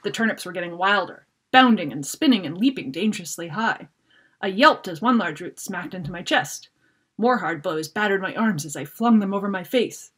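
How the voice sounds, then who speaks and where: A middle-aged woman author reading a children's fantasy story aloud in an expressive, dramatic way. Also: medium pitch, normal speed, breathy edge. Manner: animated, dramatic reader. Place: book-lined room at home.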